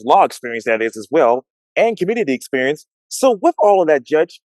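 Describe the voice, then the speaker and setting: A man's voice: on the high side, a little mellow, no room sound. An American man hosting a one-on-one political interview, courteous and formal in how he addresses his guest. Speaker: interviewer, host.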